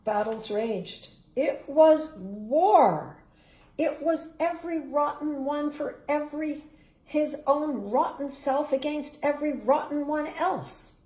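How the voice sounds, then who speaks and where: A middle-aged woman reading a picture book aloud, dramatic in the loud parts and hushed to a whisper in the quiet ones. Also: high voice, takes it slowly. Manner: expressive, dramatic rises and hushed, whispered endings. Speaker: storybook reader. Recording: roomy echo.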